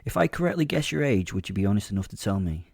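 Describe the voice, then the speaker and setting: A sly male werewolf from a horror audio drama , acted by a man, talks with casual, teasing politeness. His voice is low and mellow, close and dry, with a predator hidden under the courtesy. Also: very animated, fast, vocal fry, no room sound, exaggerated voice. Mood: probing, wry.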